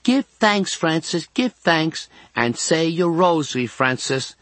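A man plays a cheeky Irish girl of thirteen from a storybook. The voice is lifted high and calls out in a teasing sing-song. The sound is close and dry. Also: very animated, takes it slowly, fairly bright tone, no echo, full character voice. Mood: mocking. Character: lively, cheeky thirteen-year-old Irish girl in hospital.

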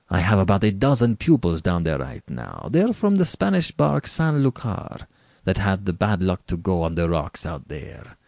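A man's voice: lowish voice, gravelly, a little mellow, no room sound, exaggerated voice. A man voicing an urbane, arrogant aristocratic general in a story, with bland, precisely worded condescension. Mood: suave, mocking. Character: urbane, arrogant male aristocrat general who hunts men.

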